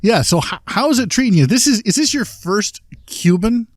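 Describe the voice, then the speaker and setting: A man's voice: sits high, animated, normal speed, no room sound, clean studio sound. A man co-hosting a casual podcast outdoors, opinionated and fond of complaining, but enthusiastic about what he likes. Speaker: podcast co-host. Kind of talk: casual chat. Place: outdoors, light breeze.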